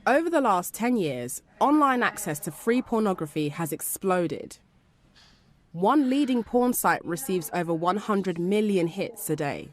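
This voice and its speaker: A woman's voice: mid-range voice, very animated, measured pace, soft background music. A woman presenting a documentary, moving between scripted narration and interview questions.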